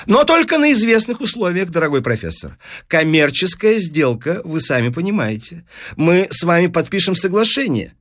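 A man's voice: high-pitched, very animated, normal speed, fairly bright tone, voice pushed up. A man voicing a pushy, calculating newspaper businessman in an audiobook, with eager salesman's patter. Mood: eager, persuasive. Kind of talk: audiobook character dialogue.

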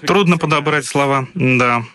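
Bright-voiced male interview host. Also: medium pitch, normal speed. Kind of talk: conversational studio interview. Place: studio.

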